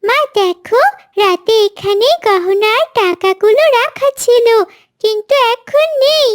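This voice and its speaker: A woman's voice: very high-pitched, expressive, a little slow, no room sound, studio quality. A woman voicing a sweet, eager little girl bird in a cartoon, with a childlike, earnest manner.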